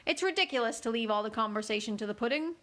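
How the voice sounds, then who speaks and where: A woman voicing a bossy, imperious queen who barks sharp orders, reading a storybook aloud. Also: on the high side, expressive, quick pace. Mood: sulky. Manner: sharp, commanding, loud orders.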